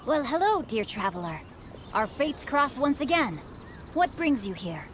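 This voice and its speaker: A woman's voice: sits high, sing-song, unhurried, slight background noise. A woman playing a polite, bookish teenage young master in a game, with formal, flowery and composed speech. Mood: courteous. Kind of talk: English game voice acting.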